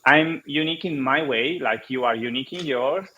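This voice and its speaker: A man from Italy with an Italian accent, a guest explaining ideas in halting English with many restarts, over a video call. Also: medium pitch, bright, forceful tone, lo-fi sound. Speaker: guest speaker. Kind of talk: online conference interview.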